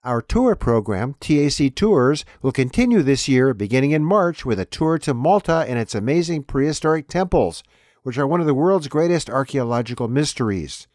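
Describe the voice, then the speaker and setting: A man's voice: medium pitch, very animated, normal speed, a little mellow, no echo, clean studio sound. A man delivering scripted announcements and headlines as the host of a program.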